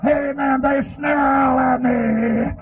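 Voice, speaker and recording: Male revival preacher, very high strained pitch, husky, impassioned delivery, dull lo-fi tape. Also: fairly even intonation, extremely slow, over a noisy crowd, very noisy. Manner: impassioned, pleading, often shouting, punctuates phrases with "amen". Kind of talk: fervent revival sermon. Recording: dull, lo-fi sermon recording.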